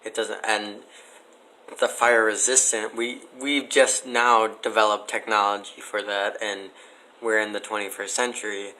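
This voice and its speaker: A skeptical man argues as a guest on a casual podcast. His voice is bright and forceful but rather monotone. He speaks slowly and haltingly as he debates each point. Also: fairly low pitch, rough recording.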